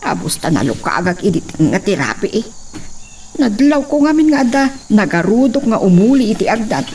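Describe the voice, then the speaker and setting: A watchful, fretful household woman in a radio drama. Her chatty voice is mid-pitched and a little off-mic, with faint insects chirping behind. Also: very animated, moderate pace, darkish tone, distant mic, a little hiss or hum.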